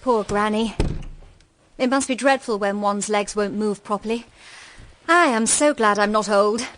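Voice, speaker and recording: A woman's voice: mid-range voice, a little slow, a bit bright, close to an everyday voice. An actress playing a naive, cheerful, sheltered young woman in a period radio drama, with a girlish, upper-class English manner. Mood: bright, admiring. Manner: gushing, girlish.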